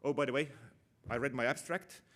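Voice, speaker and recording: A man's voice: medium pitch, fairly quick, fairly bright tone. A middle-aged man from Finland giving a conference talk, casual and self-deprecating, with many fillers and restarts. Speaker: conference speaker, operations manager. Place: conference room.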